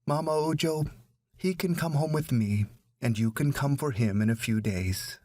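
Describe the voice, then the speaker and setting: A man voicing a proud, dignified widowed foster mother in a storybook, speaking in an earnest, measured, emphatic way. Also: mid-range voice, a little mellow, dry recording, natural voice. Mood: gently offering. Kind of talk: audiobook story character.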